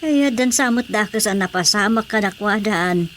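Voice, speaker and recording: A woman's voice: mid-range voice, normal speed, slight background noise, natural voice. A woman playing an emotional, fretful female character in a radio drama.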